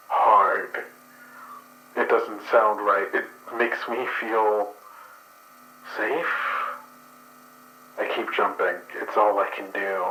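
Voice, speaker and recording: Slow-speaking man narrating in a low voice. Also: bright, forceful tone, slight background noise, rough recording. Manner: slow, measured narration, turning casual and profane. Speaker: player. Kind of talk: actual play storytelling.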